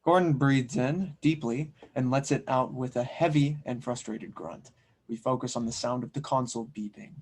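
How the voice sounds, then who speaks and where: Mid-pitched man narrating a script. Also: normal speed, darkish tone. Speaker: narrator reading stage directions.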